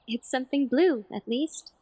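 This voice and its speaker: A woman's voice: sits high, normal speed, warm tone, breathy edge. A woman playing a sweet, dreamy young friend in an audio drama with a reverberant sound. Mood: pleased. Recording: long reverberant hall echo.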